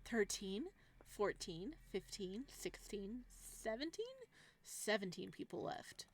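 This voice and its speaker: A woman's voice: animated, slow. A woman playing a gleeful, sadistic male living god from a visual novel, speaking in a clinical, mocking way. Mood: playful counting. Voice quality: low, slightly raspy as written. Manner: clinical, mocking, savoring words.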